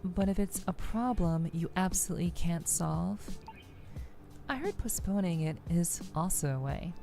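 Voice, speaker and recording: Dark, creaky-voiced low-pitched woman streamer. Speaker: streamer, VTuber. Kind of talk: game livestream, reading dialogue aloud and commenting. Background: faint background music.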